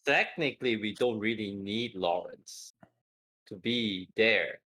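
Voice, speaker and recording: A man with a husky voice playing a tabletop roleplaying game, casually discussing the group's plans. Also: fairly low pitch, a little slow, sharp tone, low-quality recording.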